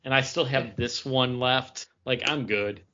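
A man's voice: medium pitch, steady tone, measured pace, pushed and bright, lo-fi sound. A man co-hosting a podcast, chatting casually with the other hosts. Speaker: podcast co-host. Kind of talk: casual chat.

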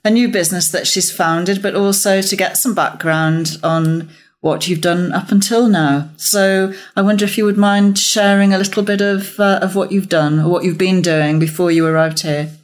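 A woman hosting a podcast interview, asking questions in a gentle, conversational way with some ums. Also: fairly low pitch, fairly even intonation, moderate pace. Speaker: podcast host.

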